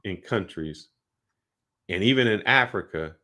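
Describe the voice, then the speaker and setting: A man's voice: sits low, animated, measured pace, sharp tone. A man co-hosting a podcast, explaining his views at length in loose, casual speech. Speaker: podcast co-host. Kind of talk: casual chat.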